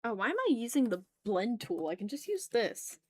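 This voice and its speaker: A woman's voice: on the high side, big pitch swings, normal speed, darkish tone, husky, no echo. Casual woman chatting on an art livestream, dry sound. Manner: informal, muttering asides to self. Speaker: art streamer. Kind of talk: casual livestream chat while drawing.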